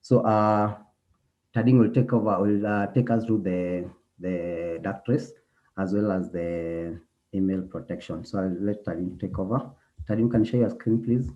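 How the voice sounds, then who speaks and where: A man introducing a webinar, speaking hesitantly with many "uh" pauses. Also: lowish voice, steady tone, dull audio.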